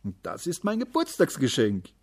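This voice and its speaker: A man's voice: medium pitch, wildly swooping pitch, moderate pace, darkish tone, husky, voice pushed up, exaggerated voice. A man doing a proud, boastful schoolboy. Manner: boastful, cheerful. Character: proud schoolboy with a new bike.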